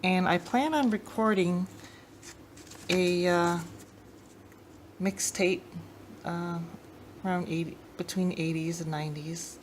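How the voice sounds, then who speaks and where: Low-pitched woman, casual how-to, noisy recording. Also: slow pace, long pauses. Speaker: host of a tape deck tech channel. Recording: clear background noise, room ambience.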